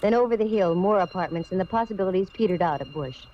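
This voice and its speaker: An actress playing a breezy, self-assured, hard-boiled private detective in 1940s radio style. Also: female voice, fairly low pitch, animated, quick pace, natural voice. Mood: wry narrating. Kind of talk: 1940s radio detective acting, narration and dialogue. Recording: muffled, noisy old radio recording.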